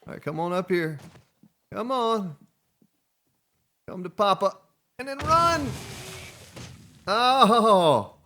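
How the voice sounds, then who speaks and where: A man's voice: high voice, expressive, slow, long pauses, pushed and bright, a little hiss or hum. A man casually commenting on his gameplay as a let's play host, chatting and joking with the characters on screen. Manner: chatty, jokes at game characters.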